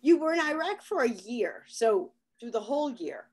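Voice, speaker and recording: Lively, slightly high-voiced woman host. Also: normal speed. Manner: chatty, laughs often, reacts with enthusiasm. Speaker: podcast host. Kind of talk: casual interview chat.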